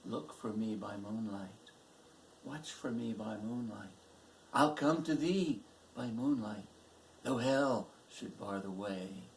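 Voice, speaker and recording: A man voicing a bold, romantic highwayman in a poetry recitation, declaiming theatrically to his sweetheart. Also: slow, slight background noise, low-quality recording. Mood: solemn, ardent.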